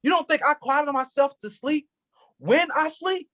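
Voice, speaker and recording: A man's voice: very high voice, moderate pace, a bit bright. An actor playing an anguished, furious police officer who is grieving his cousin, in a staged play reading with an intense, impassioned delivery.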